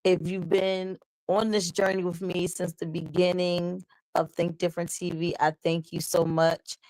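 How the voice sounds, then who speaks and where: A woman hosting a live talk show, chatting upbeat with her viewers while her voice is still slightly hoarse. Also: on the low side, flat delivery, measured pace, a little mellow, no room sound, low-quality recording. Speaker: host. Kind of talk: casual live show chat.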